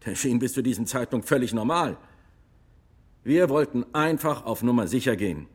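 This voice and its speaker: An actor playing a retired army lieutenant testifying in a radio drama courtroom, husky and matter-of-fact. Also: male voice, mid-range voice, animated, normal speed. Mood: matter-of-fact, earnest.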